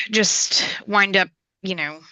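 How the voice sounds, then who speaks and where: A woman's voice: medium pitch, very slow, pushed and bright, no echo, rough recording. A woman chatting casually and playfully as a panelist at a fan convention.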